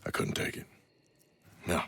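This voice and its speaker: An actor playing a gruff, weary outlaw cowboy with a reluctant conscience, blunt and plain-spoken, with a rural Western American accent, in a dramatic video game. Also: male voice, a little slow, a bit bright, close to an everyday voice. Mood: wry, modest.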